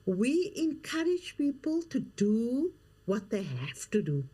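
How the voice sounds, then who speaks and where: A woman's voice: on the high side, sing-song, takes it slowly, mellow, slightly rough. A middle-aged woman, a coach and author speaking as an interview guest, earnest and emphatic, often repeating her key phrases. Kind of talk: interview conversation.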